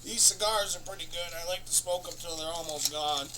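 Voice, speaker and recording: A man hosting a comedy show, rambling through an absurd, profane monologue in a deadpan way. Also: on the high side, brisk, heavy background noise. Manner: rambling, profane, deadpan nonsense with sudden bursts of laughter.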